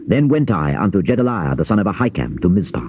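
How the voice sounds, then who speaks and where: A man giving a solemn, measured, theatrical scripted narration of scripture in a declamatory manner. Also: low voice, sing-song. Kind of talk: dramatized Bible reading, theatrical scripted narration. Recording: dull lo-fi cassette with noise.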